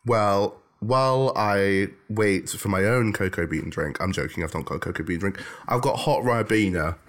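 A young man with a low, gravelly, hoarse voice, worn rough by a cough. He co-hosts from home in a dry, teasing tone, switching between loose chat and a scripted recap. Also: moderate pace, a bit bright. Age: 20s. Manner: dry, teasing, laughs often. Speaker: podcast co-host. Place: at home, recording remotely.

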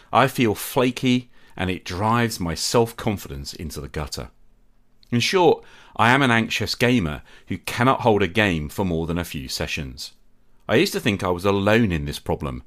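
Deep, expressive middle-aged male podcast host. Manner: reflective and candid, with wry self-deprecating humour. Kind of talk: solo podcast monologue.

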